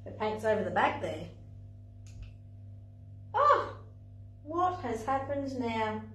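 Animated woman narrating in an echoey playroom. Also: on the high side, takes it slowly, distant mic, faint music behind. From Australia. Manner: animated, sing-song, exclamatory storytelling. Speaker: playgroup facilitator. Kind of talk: storytelling lesson for parents and toddlers. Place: indoor playroom, in front of a screen. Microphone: far camera. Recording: echoey room, voice from afar.

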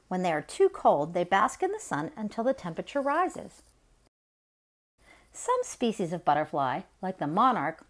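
A middle-aged woman reading a children's nonfiction picture book aloud as story time host, in an expressive, deliberate way. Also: medium pitch.

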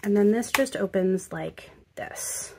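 A woman calmly narrating a walkthrough of a handmade album, explaining each step with fillers. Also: medium pitch, takes it slowly, gravelly, breathy edge. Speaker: craft video creator. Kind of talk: casual craft walkthrough narration.

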